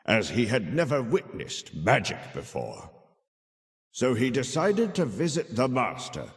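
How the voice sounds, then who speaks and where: Animated old storyteller, slow and echoing. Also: male voice, fairly low pitch, heavily acted. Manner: theatrical, measured, animated. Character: kindly old storyteller telling a child a tale. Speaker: storyteller narrator. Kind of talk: dramatic fairy-tale narration.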